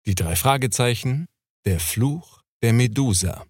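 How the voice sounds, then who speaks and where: A man's voice: medium pitch, expressive, measured pace, slightly rough, no room sound, clean studio sound. A man reading a scripted show introduction as an announcer, in a polished presentational manner.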